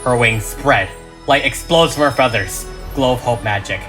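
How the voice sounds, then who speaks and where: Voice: mid-range voice, normal speed, music in the background. A narrator reading a script aloud in a dramatic, emphatic, theatrical style.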